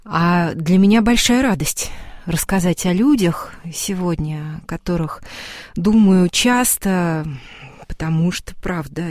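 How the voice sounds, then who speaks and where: A woman's voice: fairly low pitch, darkish tone, dry recording. A woman co-hosting a radio programme, talking in a casual studio chat style. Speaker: radio co-host. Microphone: studio microphone.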